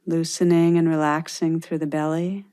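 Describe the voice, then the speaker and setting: A woman meditation teacher leading a guided meditation with gentle, unhurried instructions and pauses. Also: sits low, flat delivery, clean tone.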